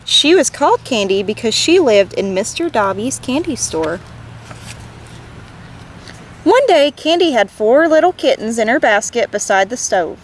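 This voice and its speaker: A woman reading a storybook aloud to children in an expressive, gentle way, as a read-aloud host. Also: a little high, slight background noise.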